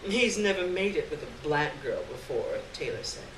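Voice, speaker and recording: A woman giving an expressive dramatic reading of a literary short story live on stage, acting out the dialogue. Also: low voice, normal speed. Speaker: story reader. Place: live stage event, recorded from a distance. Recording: roomy distant live recording, clear background noise.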